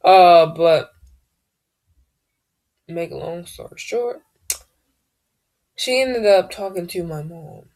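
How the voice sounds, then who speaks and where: A woman with a naturally deep, low-pitched voice, sharing a personal story straight to camera. She speaks slowly and unhurriedly. She often pauses, hesitates and trails off as she searches for the right words. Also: a little mellow. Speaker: vlogger.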